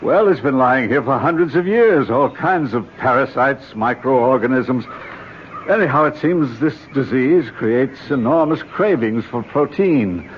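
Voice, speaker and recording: A man's voice: mid-range voice, expressive, unhurried, lightly acted. A grave, careful doctor played by a man in old radio drama style, with very varied intonation. The sound is dull and a little noisy. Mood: measured, reassuring. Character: grave, cautious doctor on a remote expedition. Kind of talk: vintage radio drama acting. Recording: dull old radio recording with faint noise.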